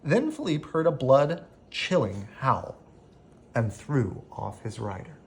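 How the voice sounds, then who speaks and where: Slow, animated male storybook narrator. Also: mid-range voice, a little hiss or hum. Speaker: storybook reader. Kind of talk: expressive bedtime story narration.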